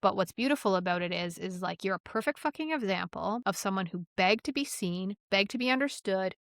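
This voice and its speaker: A woman's voice: mid-range voice, expressive, fairly quick, a bit bright, dry recording, studio quality. A woman hosting a casual interview, emphatic and swearing, with a lot of "like". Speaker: podcast host.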